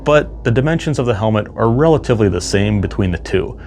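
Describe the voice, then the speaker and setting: Guy w a lowish voice doing casual tutorial narration, explains stuff, faint music. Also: expressive, quick pace, a little mellow. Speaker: cosplay builder presenting a build series.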